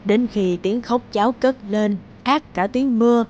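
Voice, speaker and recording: A woman voicing a gentle, devoted grandmother who tells a family tale in a measured, tender cartoon storytelling voice. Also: fairly high pitch, dull audio, close to an everyday voice. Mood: gentle, nostalgic. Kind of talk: animated storytelling.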